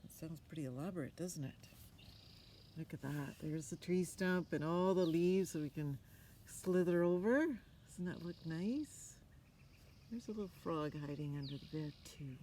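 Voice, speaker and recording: A woman's voice: sits low, measured pace, long pauses, mellow. An older woman reading a picture book aloud outdoors, with chatty asides and questions to young listeners. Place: outdoors on a forest floor. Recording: outdoor ambience with noticeable background noise.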